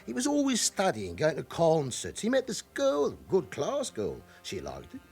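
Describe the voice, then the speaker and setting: An actor playing an evasive, chatty forty-year-old London club owner with an underworld past in a radio drama. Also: male voice, a little high, huge pitch swings, normal speed, gravelly, a little mellow, slightly rough, faint music behind, exaggerated voice. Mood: pleading, protective.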